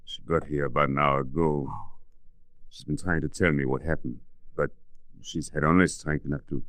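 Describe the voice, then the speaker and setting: A very low-pitched, earnest doctor of middle age in an old radio drama. He phrases things formally and with care, and the sound is dry and close. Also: fairly even intonation, moderate pace, fairly bright tone, no room sound. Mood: somber. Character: grave, earnest middle-aged European doctor, male. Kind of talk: 1940s radio drama acting.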